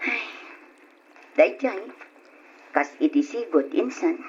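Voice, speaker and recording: A woman acting a humble, long-suffering mother and struggling wife in an old radio drama, in a husky voice. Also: mid-range voice, expressive, takes it slowly, a little mellow, a little hiss or hum. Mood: downcast. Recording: dull lo-fi old radio recording.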